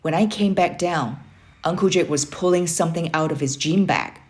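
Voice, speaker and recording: A woman with a fairly low voice reads a children's story aloud. She narrates expressively, in the manner of a storybook read-aloud. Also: normal speed, darkish tone.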